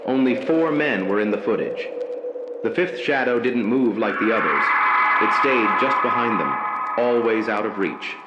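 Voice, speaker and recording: A man reading a scripted horror story as narrator, slow, measured and ominous in delivery. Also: on the low side, lively intonation, lo-fi sound. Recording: echoey room with background noise.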